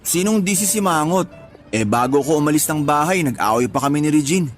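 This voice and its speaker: An actor playing a doting, put-upon young tricycle driver in a Tagalog radio drama, pleading and exasperated. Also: male voice, on the high side, expressive, a little fast, soft background music, natural voice.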